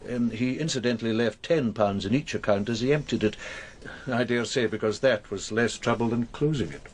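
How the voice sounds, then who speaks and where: A man's voice: mid-range voice, brisk, lightly acted. An actor playing a meticulous, wordy Scottish man who is helping police in a radio crime drama, speaking a little hesitantly and with some self-satisfaction. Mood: quietly pleased. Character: fussy, self-satisfied male amateur investigator helping police.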